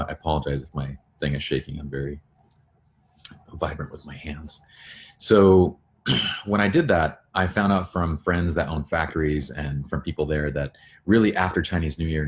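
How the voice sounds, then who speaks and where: Male livestream host, extremely deep voice, informal and earnest, talking viewers through things from a bedroom at home. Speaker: photography studio owner hosting a livestream. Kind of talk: casual informal live discussion.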